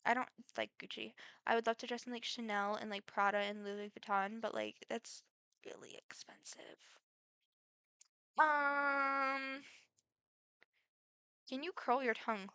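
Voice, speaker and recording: A woman's voice: on the high side, fairly even intonation, a little slow, pauses often, pushed and bright, smooth, no room sound. A teenage girl with a Midwestern accent, answering get-to-know-me questions in a chatty, rambling way full of fillers. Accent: Midwestern American. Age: teens. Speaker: vlogger.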